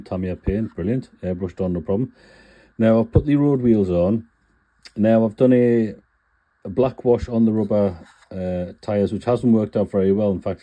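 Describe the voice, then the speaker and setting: Deep, dark-voiced man with a cold. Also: muffled recording. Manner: chatty, self-correcting, plain-spoken. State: full of cold. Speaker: scale model builder. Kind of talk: casual build tutorial.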